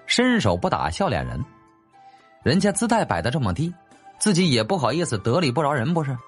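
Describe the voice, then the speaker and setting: 一位男演播者以戏剧化的方式讲述历史小说，是照稿演播的有声书旁白。. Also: male voice, medium pitch, huge pitch swings, brisk, soft background music. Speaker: audiobook narrator. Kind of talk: dramatic scripted narration of a historical novel.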